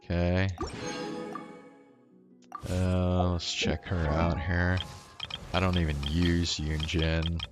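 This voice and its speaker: Slow, low-voiced man streaming a game. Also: level tone. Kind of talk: casual live gameplay commentary. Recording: music behind the voice.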